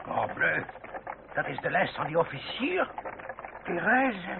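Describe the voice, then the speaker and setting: Creaky, teasing gentleman. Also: fairly high pitch, sing-song, takes it slowly, heavily acted. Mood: amazed. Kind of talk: Dutch radio play acting. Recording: old noisy muffled radio play recording.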